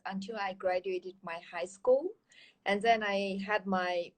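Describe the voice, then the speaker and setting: A woman's voice: medium pitch, poor audio. A woman in her thirties from Kyrgyzstan, with a Kyrgyz accent, speaking English as a second language. She is a guest in a casual interview and talks earnestly, with many fillers and restarts. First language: Chinese. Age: 30s.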